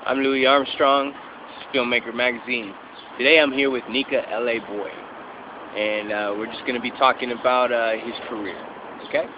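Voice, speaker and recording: A man interviewing a musician, asking short questions with many pauses and fillers. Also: mid-range voice, level tone. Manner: slow, halting with uh fillers. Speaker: interviewer, filmmaker. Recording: lo-fi with street and traffic noise.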